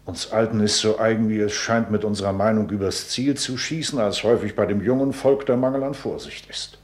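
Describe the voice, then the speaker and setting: A man's voice: on the low side, brisk, a little mellow, roomy sound, natural voice. An actor playing an elderly, meddling father and courtier in a classical radio play, theatrical and sententious. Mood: urgent, sententious.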